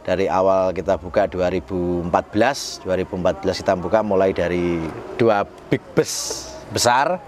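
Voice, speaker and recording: A man's voice: low voice, normal speed, noisy background. A man in his twenties, a bus company owner, answering interview questions politely with some hesitation. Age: 20s. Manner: polite, hesitant, laughs softly. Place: garage.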